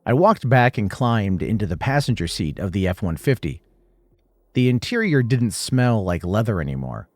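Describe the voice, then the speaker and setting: A man's voice: lowish voice, very animated, normal speed, dry recording. A man narrating a scripted first-person horror story in short, suspenseful, dramatic phrases.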